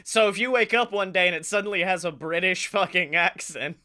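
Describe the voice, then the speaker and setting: A boisterous man with a high-pitched, forceful voice. He banters like a comedy podcast host, swearing freely and playing up the showman hype. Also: brisk, clear, resonant tone. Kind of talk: loud comedic banter with mock announcer hype.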